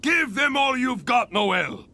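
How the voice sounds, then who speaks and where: A cheerful young exam official from a fantasy game, speaks in a high, swooping voice. He announces everything with theatrical enthusiasm and takes his time over it. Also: animated, unhurried, pushed and bright, a little hiss or hum, heavily acted. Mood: enthusiastic, dramatic. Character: cheerful young male adventurers' guild examiner. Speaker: exam host. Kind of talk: video game character dialogue.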